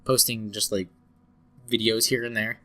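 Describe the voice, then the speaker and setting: A man's voice: fairly low pitch, little pitch movement, normal speed, pushed and bright. A young man hosting a casual podcast, sounding congested and a little scratchy from a cold, rambling with lots of fillers and restarts. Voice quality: slightly scratchy, nasal. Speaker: podcast host.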